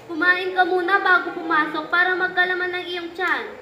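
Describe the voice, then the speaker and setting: A woman's voice: very high-pitched, steady tone, normal speed, pushed and bright. A woman playing a scolding but caring mother of a young schoolgirl in a puppet show, with a nagging manner. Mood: patient, resigned. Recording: echoey room.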